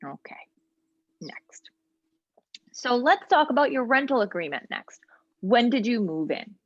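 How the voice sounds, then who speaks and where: A woman trainer patiently walking a practice client through online software during a role-play interview. She explains each step and often pauses with ums and short asides. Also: on the high side, sing-song, no echo, lo-fi sound. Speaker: legal aid trainer. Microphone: video call.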